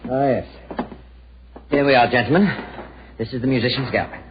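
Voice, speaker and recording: An actor playing a polite, well-bred lord of the manor in 1940s radio style, courteous and a little hesitant. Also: male voice, mid-range voice, brisk, lightly acted. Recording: noisy, muffled 1940s radio recording.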